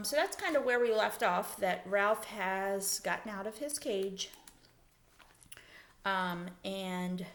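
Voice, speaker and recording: A woman's voice: mid-range voice, creaky, a bit bright. A woman schoolteacher leading a read-aloud lesson for children, explaining things simply and putting questions to her listeners. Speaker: elementary school teacher.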